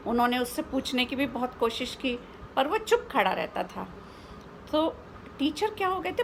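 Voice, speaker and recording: High-voiced Indian woman telling a story. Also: pushed and bright, slight background noise. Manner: explanatory, with rhetorical questions and English words mixed in. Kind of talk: informal spoken storytelling for children.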